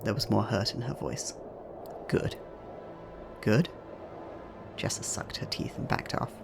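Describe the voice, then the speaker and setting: A man's voice: on the low side, a little slow, creaky, noisy background. A man narrating a scripted dramatic story as the narrator of an audio drama.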